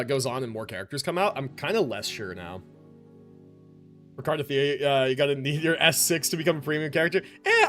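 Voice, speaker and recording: A man's voice: on the high side, a bit bright. A man casually commentating a live game stream, chatting with viewers in rambling, informal gamer slang.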